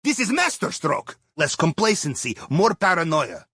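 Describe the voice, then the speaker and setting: A man's voice: on the high side, very animated, moderate pace, a bit bright, slightly rough, dry recording, studio quality, heavily acted. A man playing a jovial, wry Russian submarine captain in a video game, with a Russian accent and a playful, theatrical way of guiding his crew. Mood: jovial, teasing. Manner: playful, theatrical, drops articles, tags sentences with questioning "yes?" or "huh?". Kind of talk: video game mission dialogue over comms.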